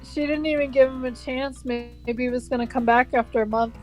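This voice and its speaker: A woman's voice: high-pitched, steady tone, brisk, faint music behind. A young woman reading a story aloud with casual, sweary, mock-aggrieved commentary. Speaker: co-host of a dramatic reading.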